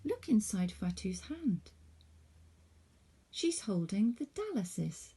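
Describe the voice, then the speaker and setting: A woman reading a picture book aloud to children in an expressive, animated way, putting questions to young listeners. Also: mid-range voice, takes it slowly, breathy edge. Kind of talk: expressive storybook read-aloud.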